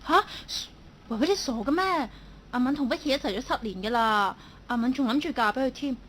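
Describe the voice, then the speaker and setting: A woman's voice: sits high, lively intonation, a little fast, a bit bright, a little hiss or hum. An actress playing a timid, lovelorn young female office worker in a radio drama, speaking hesitantly. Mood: feigned calm. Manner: hesitant, stammering when upset. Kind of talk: Cantonese online radio drama acting.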